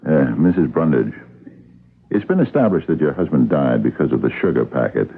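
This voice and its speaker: An actor playing a dogged, wry police captain in a radio mystery, with a gravelly voice and a dry, hesitant, probing manner. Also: male voice, rumbling low, very animated, brisk, exaggerated voice. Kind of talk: radio mystery drama acting. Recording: muffled lo-fi old radio broadcast.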